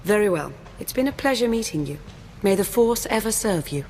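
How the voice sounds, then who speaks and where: A woman playing a composed, formal and self-assured dark lord in a video game. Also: mid-range voice, lively intonation, brisk, slight background noise, close to an everyday voice. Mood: courteous. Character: poised, composed female Sith Lord. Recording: long reverb, faint music. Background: music.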